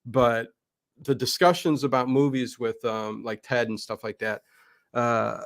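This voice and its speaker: A man's voice: medium pitch, a little mellow, no room sound. A middle-aged man talks off the cuff as a livestream host. His speech rambles and doubles back on itself. The sound is dry, with very little room in it. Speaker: livestream show host being interviewed. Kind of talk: casual livestream chat.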